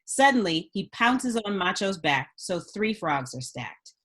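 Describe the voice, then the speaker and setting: A woman's voice: mid-range voice, sing-song, measured pace, a bit bright. A woman narrating stage directions from a script in a scripted reading style.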